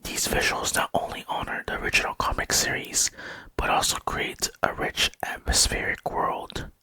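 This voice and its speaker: Whispering man, crisp close-up ASMR narration. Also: normal speed, sharp tone, dry recording. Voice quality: breathy unvoiced whisper. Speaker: gaming video host. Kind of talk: ASMR whispered narration over gaming video. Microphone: close microphone, dry sound.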